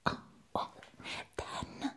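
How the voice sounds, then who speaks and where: A woman voicing a sweet, doting and possessive vampire friend in an ASMR roleplay, murmuring tenderly and affectionately. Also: bright, forceful tone. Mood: pleading, protective. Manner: tender, breathy, slow affectionate murmuring with long pauses. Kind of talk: whispered ASMR personal-attention roleplay.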